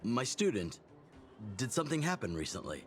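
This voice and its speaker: An actor voicing a seasoned middle-aged fighting master in a video game, fatherly and reflective, telling stories in a measured way. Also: medium pitch, big pitch swings, a bit bright, faint music behind. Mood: curious, concerned. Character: seasoned male fighting master, fatherly, fond of his student. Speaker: mentor character. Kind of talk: video game character dialogue, storytelling.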